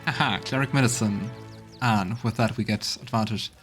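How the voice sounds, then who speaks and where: A man's voice: lowish voice, normal speed, fairly bright tone, soft background music. A man hosting a let's play, commenting casually in a reflective, conversational way.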